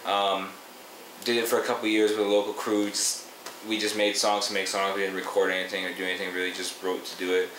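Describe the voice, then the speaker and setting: Young canadian guy, talks fast and earnest, lowish voice, roomy echo. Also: completely flat delivery, fairly bright tone. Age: 20s. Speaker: rapper interviewee. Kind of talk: casual interview talk. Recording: echoey room, faint noise.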